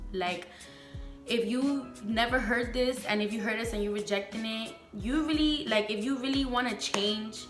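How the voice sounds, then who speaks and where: A woman's voice: mid-range voice, a bit bright, roomy sound. A young woman from the Bronx, chatting frankly and emphatically with her viewers while doing her makeup, full of slang. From the Bronx, New York. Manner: candid and emphatic, heavy slang, talks to viewers as "sis" and "girl". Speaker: beauty vlogger. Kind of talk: casual get-ready-with-me chat.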